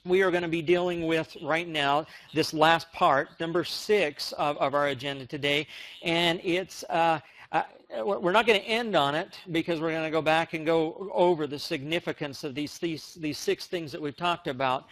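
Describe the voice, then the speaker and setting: An American man presenting on a conference call, giving an enthusiastic, emphatic motivational sales pitch. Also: moderate pace, fairly bright tone, rough recording. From United States.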